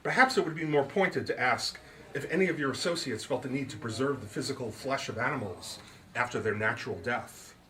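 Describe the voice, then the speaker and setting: A man's voice: mid-range voice, a little fast, bright, forceful tone, close to an everyday voice. A man voicing a composed young female detective in a comic mystery story, asking polite, probing, formal questions.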